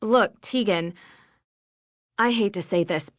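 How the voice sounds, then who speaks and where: A woman's voice: mid-range voice, slow, no echo, clean studio sound, natural voice. An actress playing a determined, level-headed young female detective in a video game, earnest and purposeful. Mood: serious, probing.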